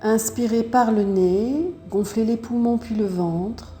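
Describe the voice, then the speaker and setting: A woman teaching yoga, guiding breath and posture step by step in a calm, measured way with pauses. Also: a little high, roomy sound, soft background music. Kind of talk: guided yoga and breathing instruction.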